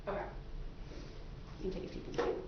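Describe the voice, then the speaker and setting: A woman attorney questioning prospective jurors in a courtroom, politely probing and repeating their answers back. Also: fast talker. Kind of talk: courtroom questioning of a jury panel. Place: large indoor courtroom. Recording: very echoey courtroom, distant mic, muffled, faint noise.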